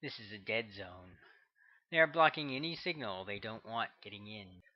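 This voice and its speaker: A man's voice: fairly low pitch, animated, moderate pace. A man voicing a cynical female federal agent who speaks in a dry, knowing, matter-of-fact way, on a slightly muffled audiobook recording.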